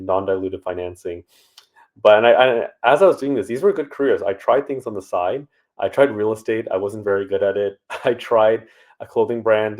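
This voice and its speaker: A man, a startup founder answering interview questions in a relaxed, conversational way with many fillers. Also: lowish voice. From Canada.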